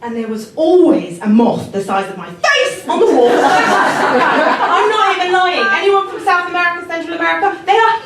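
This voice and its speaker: Jamaica-born woman doing stand-up, high bouncy voice, echoey club, picked up from far off, crowd laughing behind. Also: animated, moderate pace, hardly any pauses, heavy background noise. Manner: wry, self-mocking, laughing at her own lines. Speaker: stand-up comedian. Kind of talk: stand-up comedy set. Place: comedy club stage. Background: audience laughter.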